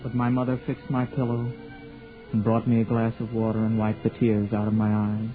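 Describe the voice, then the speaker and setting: Actor playing a shy, stammering gentle young man. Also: on the low side, monotone, normal speed, natural voice. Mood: narrating. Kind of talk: 1940s radio acting and narration. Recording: lo-fi 1940s radio with music.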